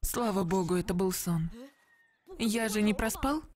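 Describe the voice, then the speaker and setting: A woman's voice: medium pitch, animated, measured pace, a little mellow, lightly acted. A woman voicing an earnest, hot-headed teenage boy in an animation dub. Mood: dazed. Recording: music behind the voice.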